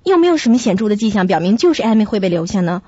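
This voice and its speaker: A woman playing a sensible, caring young flatmate who narrates and acts in a radio drama, with a husky, breathy voice. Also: medium pitch, racing, no room sound, muffled recording. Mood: reassuring.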